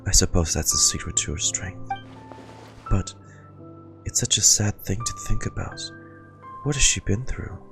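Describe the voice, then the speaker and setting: A man's voice: low-pitched, lively intonation, normal speed, vocal fry, long pauses. A man streamer reacting casually to a game and reading its dialogue aloud over game music. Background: loud game music.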